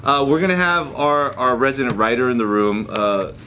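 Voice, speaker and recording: A male host chats casually through a comedy show. His pitch sits in the middle but moves around a lot, and there is clear background noise with small clicks. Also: animated, moderate pace.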